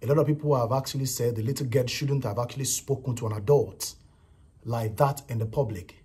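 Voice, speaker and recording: Nigerian-accented male vlogger, very raspy voice, repetitive emphatic opinion delivery. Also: medium pitch, a little fast, darkish tone. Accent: Nigerian English. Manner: repetitive, emphatic, frequent "you know" and "right". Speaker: vlogger commenting on a viral clip. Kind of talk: casual opinion commentary.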